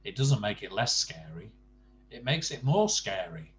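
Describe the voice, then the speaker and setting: An older man reading a bedtime story to grandchildren, expressive, with chatty asides and questions to the young listener. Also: on the low side, measured pace, slight background noise.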